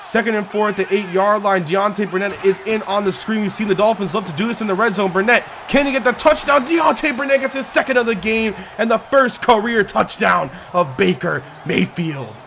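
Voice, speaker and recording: A young man with a high-pitched voice calls a football video game like a broadcast announcer. His voice jumps up on big plays and he sounds worn and hoarse. The game audio plays clearly behind him. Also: a little fast, few pauses, slight background noise. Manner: excitable, pitch climbing on big plays, yard-line countdowns. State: losing his voice. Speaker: gaming YouTuber doing play-by-play. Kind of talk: sports-style play-by-play commentary over a video game.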